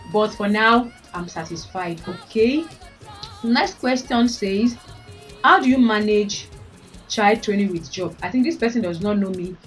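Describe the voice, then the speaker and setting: A woman in her thirties with a Nigerian accent and a fairly deep voice, chatting frankly to her viewers. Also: animated, unhurried. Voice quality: deeper voice since having babies. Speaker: vlogger. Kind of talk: casual chat to camera. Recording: voice a bit far from mic, faint music.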